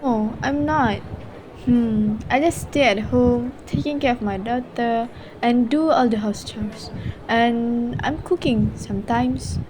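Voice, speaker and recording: Casting: actress as troubled young mother and wife, plaintive, higher-than-average female voice, amateur radio play, slight background noise. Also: takes it slowly, a little mellow, rough recording. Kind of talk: amateur radio drama acting.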